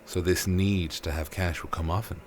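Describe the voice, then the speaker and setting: A man's voice: low voice, fairly even intonation, normal speed, a little hiss or hum, natural voice. A man playing a stern, sceptical police interrogator in an audio drama, curt and accusatory. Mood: stern, accusing.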